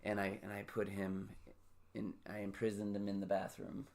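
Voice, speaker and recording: A man's voice: lowish voice, completely flat delivery, normal speed, vocal fry, a little mellow. Rambling, joking male podcast host. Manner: rambling, self-deprecating, joking. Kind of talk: casual interview chat.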